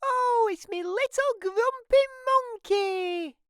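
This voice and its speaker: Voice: very high-pitched, slow pace, a bit bright, no room sound, studio quality, pitched above the performer's own voice, full character voice. A storybook narrator voicing a cheerful, doting mother ape in an effusive, sing-song manner. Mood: gushing, affectionate. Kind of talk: children's picture-book character reading.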